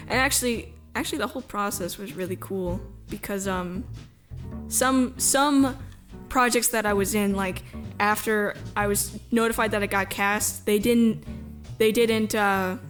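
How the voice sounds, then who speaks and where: A woman from the US Midwest chatting casually as an interview guest, easygoing, rambling and quick to laugh. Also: mid-range voice, faint music behind. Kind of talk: casual chat.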